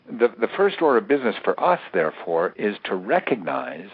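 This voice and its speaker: An older American man giving considered, analytical interview answers in a halting, deliberate way, repeating words for emphasis. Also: lowish voice, very animated, slight background noise. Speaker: interview guest, author and security analyst. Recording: narrow tinny phone line.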